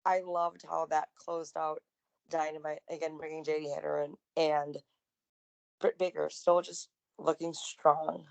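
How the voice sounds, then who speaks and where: A woman with a slightly raspy voice, co-hosting a wrestling podcast in enthusiastic, chatty conversation. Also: deep voice, little pitch movement, unhurried. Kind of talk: casual wrestling recap chat.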